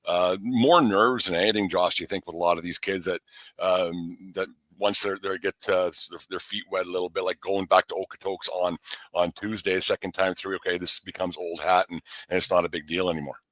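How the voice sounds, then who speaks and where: A man's voice: low voice, steady tone, fairly quick, fairly bright tone, no room sound. A man hosting a casual sports chat, folksy and rambling as he feels his way to a question. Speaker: sports podcast interviewer.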